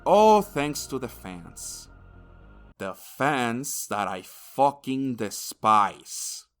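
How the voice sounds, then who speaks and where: A man's voice: medium pitch, big pitch swings, extremely slow, a bit bright, soft background music. A man narrating an opinionated video game review in a crude, sardonic way, swearing freely.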